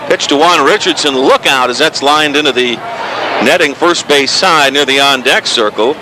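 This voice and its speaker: A man calling live sports on radio as play-by-play announcer, given to excited shouting on big plays. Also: mid-range voice, moderate pace. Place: broadcast position at outdoor sports stadium. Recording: crowd and outdoor noise behind voice. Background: crowd noise.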